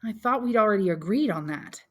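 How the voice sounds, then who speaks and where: A woman's voice: medium pitch, expressive, brisk, fairly bright tone, voice pushed up. A woman voicing an earnest, resolute young man who firmly reassures his mother in an audiobook. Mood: earnest, bright. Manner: firm, reassuring, insistent. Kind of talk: audiobook character dialogue.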